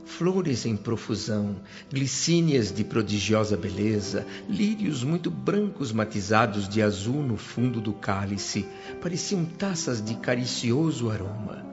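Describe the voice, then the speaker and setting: A man's voice: lowish voice, sing-song, a little slow, hardly any pauses. An awestruck, curious newcomer to the spirit world, telling his tale in a radio drama. His Brazilian speech is earnest and reverent, with literary phrasing. The audio is dull, with music playing underneath. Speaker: narrator and lead actor of a radio drama. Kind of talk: dramatised first-person radio narration.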